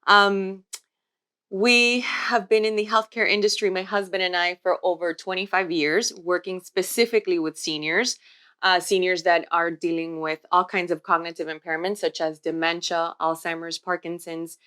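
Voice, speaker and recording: A woman in her forties co-hosting a podcast, talking in a warm, steady, explanatory way. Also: on the low side, normal speed, clean tone. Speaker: podcast co-host, healthcare worker. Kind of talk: casual podcast chat.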